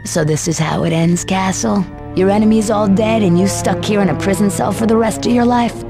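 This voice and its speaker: A woman's voice: medium pitch, a little fast, music in the background, natural voice. Woman playing a weary, sceptical female cop. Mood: weary, challenging. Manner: incredulous, pointed. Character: weary, sceptical female police officer, sharp and challenging.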